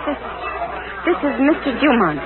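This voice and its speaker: A woman's voice: high voice, very animated, normal speed. Anxious woman on tinny radio. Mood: flustered, pleading. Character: anxious young woman in trouble, pleading and nervous. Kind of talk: 1940s radio drama acting. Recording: tinny old radio recording, crowd behind.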